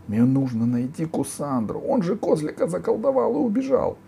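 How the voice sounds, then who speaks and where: A man's voice: on the low side, very animated, slow pace, warm tone, a little hiss or hum, pitched above the performer's own voice, full character voice. A man voicing an earnest, insistent young storybook girl who speaks with pleading urgency. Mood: worried.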